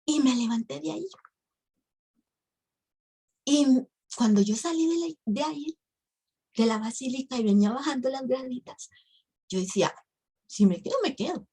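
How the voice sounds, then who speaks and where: A woman's voice: high-pitched, moderate pace, pauses often, warm tone, slightly rough, poor audio. A woman, telling her religious testimony emotionally to a women's ministry. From Costa Rica. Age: 50s. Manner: emotional storytelling, addresses the audience as sisters.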